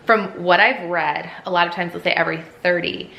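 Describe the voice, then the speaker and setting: A woman's voice: lowish voice, fairly even intonation, fairly quick, vocal fry, lo-fi sound. A woman vlogging casually while she cooks in her home kitchen, chatting with lots of fillers. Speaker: homemaking vlogger. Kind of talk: casual cooking vlog chat. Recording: echoey kitchen, faint room noise.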